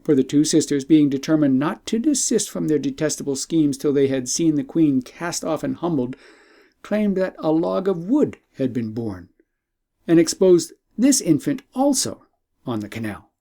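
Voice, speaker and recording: Mellow-voiced male storybook narrator. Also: fairly high pitch, big pitch swings. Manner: measured, formal storytelling. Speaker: audiobook narrator.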